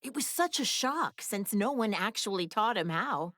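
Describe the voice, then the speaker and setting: A woman's voice: mid-range voice, animated, normal speed, a bit bright, no room sound, close to an everyday voice. A woman playing a proud, doting, glamorous middle-aged mother in an anime game, breezy and opinionated. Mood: breezy, chatty. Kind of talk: English anime game dub banter.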